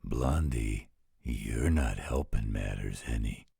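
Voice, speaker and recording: A man playing a gruff, grumbling, overprotective werewolf guardian with a gravelly, husky voice in a roleplay audio drama. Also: extremely low pitch, mellow, dry recording, studio quality, heavily acted. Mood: protective, grumbling. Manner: slow, grumbling, disapproving.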